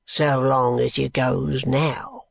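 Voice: medium pitch, flat delivery, slow, gravelly, no echo, pitched below the performer's own voice, exaggerated voice. A narrator voicing a surly, drunken working-class brickmaker, blunt and morose, in dialect speech, as in an audiobook. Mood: sullen. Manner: dialect speech, blunt, self-answering rhetorical questions.

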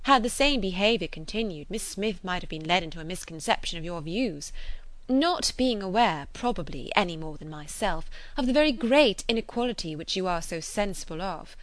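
A woman's voice: on the low side, lively intonation, moderate pace, no echo, close to an everyday voice. Well-bred young lady for a period audiobook, acted by a woman narrator: proud, indignant, formal and emphatic, in a dry recording. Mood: affronted, cool. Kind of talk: dramatised classic novel reading.

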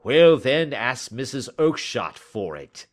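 A man voicing a fierce, impatient market stallholder, scornful and shouting, in a storybook reading. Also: mid-range voice, very animated, slow, pitched above the performer's own voice. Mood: dismissive, angry.

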